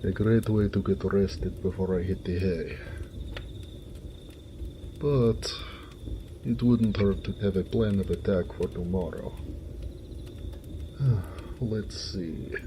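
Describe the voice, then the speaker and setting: A man voicing a gentle, hardworking orc blacksmith in an audio roleplay, weary and good-natured, musing to himself in a homely way. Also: low-pitched, slow pace, pauses often, noisy background. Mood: content, musing.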